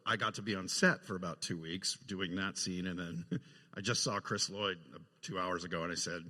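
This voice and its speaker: Low, bright-voiced man in echoey hall. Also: normal speed. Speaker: panel guest, film effects artist. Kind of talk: casual anecdotal panel talk. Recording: echoey hall, live panel sound.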